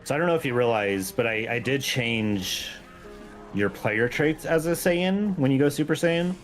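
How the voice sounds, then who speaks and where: A man's voice: mid-range voice, normal speed. A man who makes game mods, chatting casually and playfully as a guest on a gameplay stream, with music behind him. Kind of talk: casual chat while playing a game.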